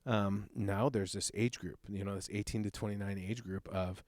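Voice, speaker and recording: Earnest low-voiced man in his thirties. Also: steady tone, a little mellow, dry recording, studio quality. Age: 30s. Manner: earnest, reflective, halting speech. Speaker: youth pastor sharing his testimony. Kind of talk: personal testimony in a conversation.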